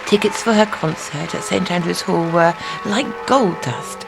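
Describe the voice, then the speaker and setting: A woman's voice: lowish voice, a little slow, creaky, music in the background, a crowd in the background. A woman narrating a scripted feature for a talking newspaper, reading from prepared text.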